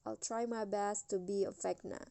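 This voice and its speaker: A woman's voice: medium pitch, fairly even intonation, normal speed, gravelly, dark tone. A woman hosting a tutorial, casually narrating an app walkthrough step by step. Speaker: tutorial host.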